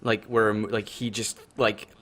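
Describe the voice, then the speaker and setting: A man's voice: lowish voice, little pitch movement, measured pace, a crowd in the background. A North American man in casual analytical podcast discussion, explaining his ideas in a thoughtful way. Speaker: podcast co-host.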